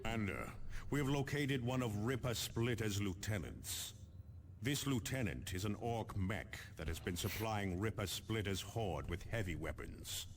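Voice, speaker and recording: A stern space marine captain briefing troops in a video game. His low voice is measured, formal and authoritative, with some background noise behind it. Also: expressive. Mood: grave, commanding.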